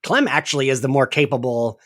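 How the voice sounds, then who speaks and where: A man's voice: mid-range voice, animated, fairly quick, fairly bright tone, dry recording, clean studio sound. A man hosting a podcast in a casual recap discussion, chatty and hedging, often saying "like". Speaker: podcast host.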